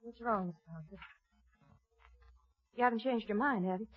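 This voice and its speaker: A dull, dry vintage radio recording with faint music carries an anxious ranch wife of the Old West played by an actress. Her voice is fairly high, earnest and insistent, in dramatic 1950s radio style. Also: moderate pace, lightly acted. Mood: worried. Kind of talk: 1950s radio western acting.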